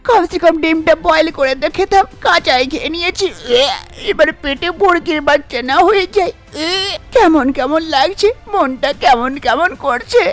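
A woman doing a high-pitched scheming young daughter-in-law. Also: moderate pace. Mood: grumbling. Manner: scheming, muttering to herself. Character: sneaky, greedy young village daughter-in-law. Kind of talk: Bengali cartoon fairy-tale voice acting.

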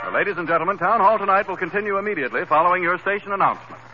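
A man working as a 1930s radio announcer, reading commercials with a smooth, earnest delivery. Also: high-pitched, rapid-fire, sharp tone, soft background music. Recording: dull old radio broadcast with faint hiss.

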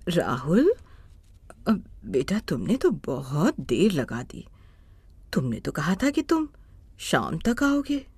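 A woman voicing a loving, worried elderly blind mother in an animated moral story. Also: low-pitched, takes it slowly, long pauses, slightly rough, no room sound, exaggerated voice. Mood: concerned. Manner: tender, worried.

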